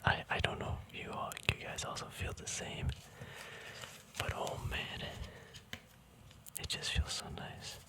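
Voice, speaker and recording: A man whispering casual ASMR to viewers in a breathy, unvoiced voice, rambling and thinking aloud without hurry, very close to the mic. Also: unhurried. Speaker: ASMR host. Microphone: mic very close to the mouth and nose.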